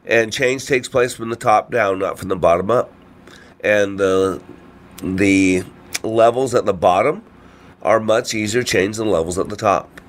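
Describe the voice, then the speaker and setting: A man's voice: fairly low pitch, unhurried. A man with a congested voice hosting a radio show, talking informally and motivationally with frequent rhetorical questions. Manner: asks rhetorical questions, frequent "you know" and "right?". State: congested with a cold or allergies. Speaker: radio show host and trainer.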